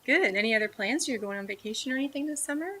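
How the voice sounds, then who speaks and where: Bright-voiced woman hosting. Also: a little high, expressive, racing, husky. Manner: chatty, laughing easily, many fillers. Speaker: talk show host. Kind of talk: casual panel chat.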